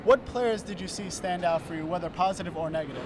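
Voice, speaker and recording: A man's voice: high voice, fast talker, noisy background. A young man co-hosting a postgame basketball recap courtside, quoting stats and asking follow-up questions into a handheld mic. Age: young adult. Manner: stat-heavy, asks follow-up questions. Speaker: student sports co-host. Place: courtside in a large indoor arena.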